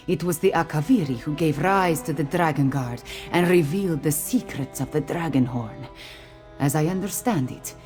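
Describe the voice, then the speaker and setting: A woman voicing a composed, regal cat-folk queen in a video game, speaking in a measured, formal manner. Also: deep voice, warm tone, natural voice. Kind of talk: scripted video game dialogue.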